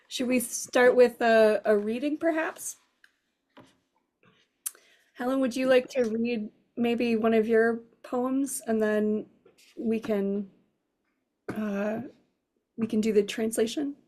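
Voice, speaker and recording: A woman from Arizona who works as a literary translator, speaking gently and a little hesitantly on a video call. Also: fairly high pitch, fairly even intonation, takes it slowly, long pauses. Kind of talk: conversation and bilingual reading.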